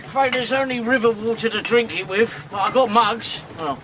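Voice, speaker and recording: A man's voice: extremely high pitch, moderate pace, noisy background. An actor playing a boozy river boatman, plainspoken and grumbling. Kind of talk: radio drama acting.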